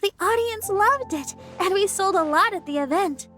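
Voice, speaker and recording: A modest, helpful young idol girl from a video game. Her voice is extremely high and gentle, with an earnest, bashful delivery. Faint music sits underneath. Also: lively intonation, moderate pace. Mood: sweet, admiring. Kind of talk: video game cutscene acting.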